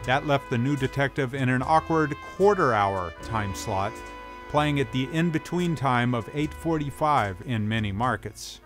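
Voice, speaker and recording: A man's voice: medium pitch, expressive, a little slow, over music. A middle-aged man hosting as a film expert, delivering scripted documentary narration with colloquial, hardboiled slang. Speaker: host, tough guy film expert.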